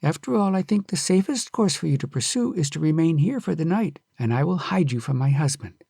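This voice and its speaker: A storyteller voicing a kind, frightened farm wife who speaks gently and urgently. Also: mid-range voice, animated, brisk, gravelly, husky, no room sound, studio quality. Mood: kindly urgent. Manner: gentle, warning, then pleading.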